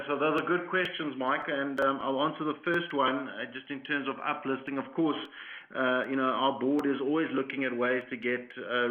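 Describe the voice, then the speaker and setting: A man speaking as a company executive, answering questions on an earnings call in hesitant, measured corporate phrasing. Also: medium pitch. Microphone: conference call line. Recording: muffled lo-fi conference call line.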